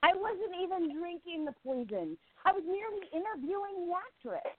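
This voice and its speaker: A woman's voice: extremely high pitch, expressive, normal speed, a little hiss or hum. A woman playing an independent young newspaperwoman of the 1920s in a radio play, with an earnest, emphatic delivery. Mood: indignant. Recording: tinny phone-like line, roomy echo.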